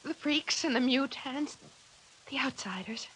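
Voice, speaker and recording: A fragile, hushed radio-drama wife, hissy. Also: female voice, high-pitched, lively intonation, measured pace, poor audio. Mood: haunted, hushed. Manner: breathy, hesitant, often dropping to a hush. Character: fragile, wistful, unhappy young wife. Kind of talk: 1950s radio drama acting. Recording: hissy 1950s radio recording.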